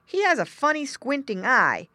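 A woman's voice: high-pitched, big pitch swings, moderate pace, pushed and bright, no room sound, pitched above the performer's own voice, full character voice. A woman voicing a practical, plain-spoken male pig farmer in a children's storybook, folksy and emphatic. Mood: amused.

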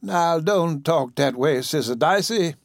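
Man putting on a weak, high-pitched old widow. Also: animated, measured pace, vocal fry, no echo. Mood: earnest, weary. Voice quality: weak, plaintive voice. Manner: Southern folksy dialect. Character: weak, sickly old widow, pious and resigned.

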